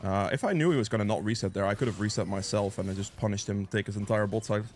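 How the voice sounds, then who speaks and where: A man's voice: fairly low pitch, fast talker, darkish tone, faint music behind. A man giving casual live commentary on a video game as he plays, thinking aloud and reacting as he goes, sniffly with a cold. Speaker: gameplay guide creator and player.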